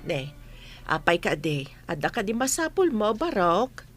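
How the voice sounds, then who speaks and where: A woman's voice: medium pitch, big pitch swings, unhurried, faint music behind. A woman with an Ilocano accent playing a worried, cautious village mother in an audio drama. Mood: wary, reluctant. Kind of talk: scripted audio drama acting.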